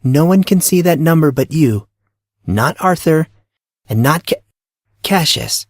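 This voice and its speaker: Man putting on a brusque, higher-pitched teenage girl. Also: expressive, measured pace, no echo, clean studio sound. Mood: solemn, insistent. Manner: bossy, taunting, dramatic. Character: brusque, teasing, secretive blonde teenage high school girl. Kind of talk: audio drama character acting.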